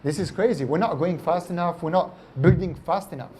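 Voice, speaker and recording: A man from France with a French accent, a company founder answering interview questions in an animated way, often tagging "right?" and "like". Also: fairly high pitch, a little fast, slight background noise.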